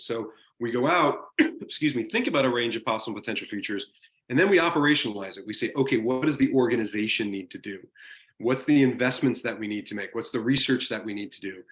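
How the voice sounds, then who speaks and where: A man giving an informal seminar talk over a video call, lively and enthusiastic, often restarting his sentences. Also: mid-range voice, fast talker, roomy sound. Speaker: guest speaker in a university seminar.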